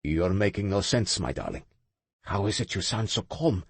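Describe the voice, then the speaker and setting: Male narrator as a menacing older uncle, low raspy voice, coaxing. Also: animated, moderate pace, dry recording, exaggerated voice.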